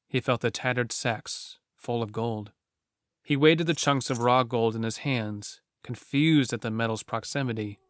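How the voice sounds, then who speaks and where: A man's voice: mid-range voice, a bit bright, no echo. A man reading scripted, dramatic narration for a horror story. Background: prominent music.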